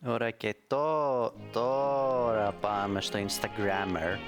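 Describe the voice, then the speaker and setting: A man's voice: lowish voice, steady tone, over loud music. A man giving a casual, rambling, playful screen-recorded tutorial.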